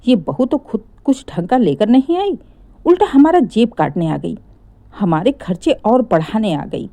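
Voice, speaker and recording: Woman acting a grumbling, sharp-tongued older mother-in-law, higher-pitched. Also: very animated, a little slow. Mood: scornful complaining. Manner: taunting, complaining, rhetorical questions.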